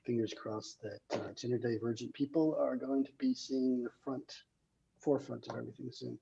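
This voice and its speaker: A screenwriter hosting casually, talking in a hesitant, rambling way with a husky, gravelly voice over a dull call recording. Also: mid-range voice, fairly even intonation, normal speed, warm tone. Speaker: screenwriter and table read host. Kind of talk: casual chat.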